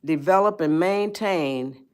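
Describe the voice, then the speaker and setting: A woman in her eighties hosting a casual livestream, chatting slowly and greeting viewers by name. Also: fairly low pitch, clear, resonant tone. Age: 80s. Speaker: livestream host. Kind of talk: casual livestream advice talk.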